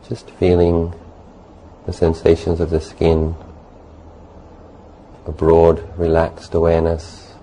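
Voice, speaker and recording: A man leading a guided meditation as a teacher, speaking gently and unhurriedly in short phrases with long pauses. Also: low-pitched, monotone, low-quality recording. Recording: faint background noise.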